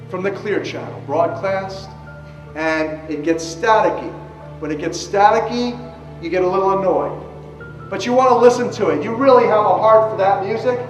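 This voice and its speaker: A man giving an explanatory lecture in an emphatic way, with deliberate phrasing. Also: high voice. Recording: roomy, distant mic, music underneath. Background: music.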